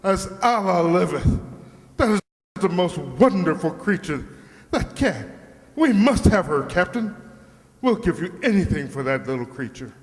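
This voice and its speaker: A man's voice: sits high, sing-song, a little slow, exaggerated voice. A man playing an eager, grandiose foreign king in a children's radio play, theatrical and imperious. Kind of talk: old-time children's radio play acting. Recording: reverberant hall.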